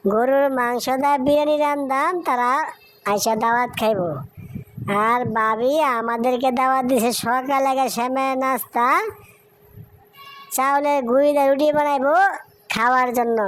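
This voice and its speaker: A woman voicing a cheerful, sociable village wife in a cartoon, chatty and homely, with a Bangladeshi Bengali accent. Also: sits high. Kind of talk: amateur cartoon dialogue.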